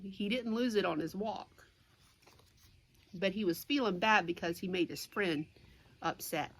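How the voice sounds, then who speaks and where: A woman's voice: mid-range voice, a bit bright, slightly rough. A woman reading a children's storybook aloud as a teacher would, with simple explanatory asides for young listeners.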